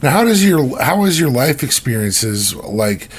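A man's voice: mid-range voice, very animated, unhurried, breathy edge. A man interviewing a musician, asking loose, rambling questions in a casual way.

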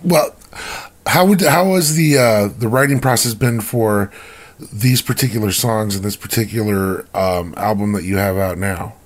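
A man's voice: on the low side, normal speed. Casual male interviewer. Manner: casual, rambling questions. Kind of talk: interview questions.

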